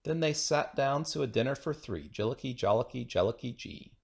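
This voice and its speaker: A man's voice: medium pitch, animated, brisk, gravelly. A man reading children's rhyming poems aloud in a playful, singsong, rhythmic way. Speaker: children's book reader.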